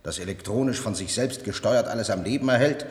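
A man's voice: fairly low pitch, a little fast, darkish tone, natural voice. An actor playing an indignant man visiting a future regime, sarcastic and articulate, in an echoey 1970s radio play. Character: indignant male time-travelling visitor challenging a regime. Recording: echoey hall-like studio radio drama.

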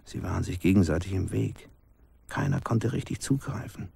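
An actor playing a grave village man who recounts a haunting past in a hushed, halting radio drama narration, his husky voice often sinking to a whisper. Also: sits low, dry recording, heavily acted. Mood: grave, haunted. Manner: hushed, halting storytelling in short sentences.

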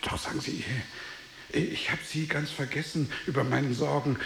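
A man playing a tormented, world-weary merchant full of guilt and longing for death, in solemn, deliberate radio drama delivery. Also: fairly high pitch, fairly even intonation, gravelly, fairly bright tone, echoey room. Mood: gentle, searching. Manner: grave, deliberate, declamatory delivery.

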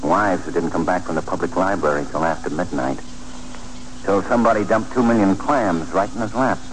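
An actor playing a shrewd, folksy police detective with wry, slangy talk in a 1940s radio drama. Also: male voice, low-pitched, fairly quick, lightly acted. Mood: wry, knowing. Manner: slangy, wry, clipped phrasing. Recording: humming, noisy 1940s radio recording.

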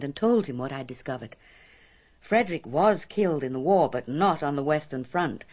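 A low-pitched, haunted woman of middle age in a radio drama. She confides a frightening past deliberately, with dramatic pauses and an undercurrent of fear. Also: husky, exaggerated voice.

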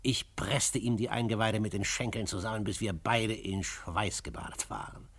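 An actor playing an adventurer who narrates his own frontier tale in a measured, literary, dramatic radio style. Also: on the low side, fairly even intonation, a bit bright, husky, no room sound. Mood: tense. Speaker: first-person narrator.

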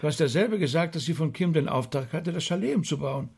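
An actor playing a blunt, sceptical rabbi in a radio drama, with a husky voice. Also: male voice, fairly high pitch, expressive, fast, darkish tone, full character voice. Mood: dismissive.

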